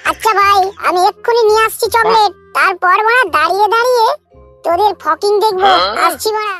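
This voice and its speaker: Male actor as slangy young adult village schemer, West Bengal accent, very high processed cartoon voice, foul-mouthed banter, loud music underneath. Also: brisk. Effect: pitch-raised cartoon voice. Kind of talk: crude comedy cartoon dialogue.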